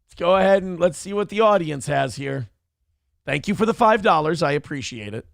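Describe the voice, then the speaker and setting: Gravelly, animated male show host. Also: a little high, a little fast, dry recording, studio quality. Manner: rambling, exasperated, reads donor messages. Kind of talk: profane casual banter.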